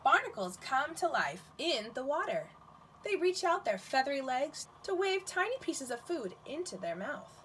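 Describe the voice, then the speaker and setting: High, expressive woman reading to children. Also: normal speed, a little hiss or hum. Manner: expressive, questioning, talks directly to children. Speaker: nursery school teacher reading aloud. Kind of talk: picture-book read-aloud to young children.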